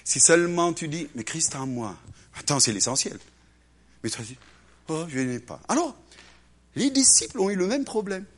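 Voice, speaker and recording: A man preaching to a congregation with a high voice that swoops up and down. He acts out little voices as he goes. His delivery keeps swinging between loud bursts and hushed asides. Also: very animated, moderate pace, long pauses. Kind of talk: animated sermon.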